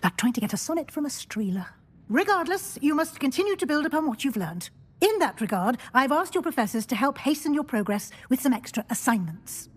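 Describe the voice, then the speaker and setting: Stern wizard-school teacher, raised pitch, formal, brisk, video game drama. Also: female voice, big pitch swings, slightly rough, full character voice. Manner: formal, authoritative.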